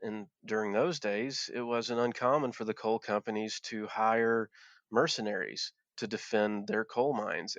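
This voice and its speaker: An earnest man from Appalachia with a fairly low voice. He talks in a halting way and restarts his sentences often. The recording is dry and a little muffled. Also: a bit bright, no room sound. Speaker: guest, former coal miner. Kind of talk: interview conversation.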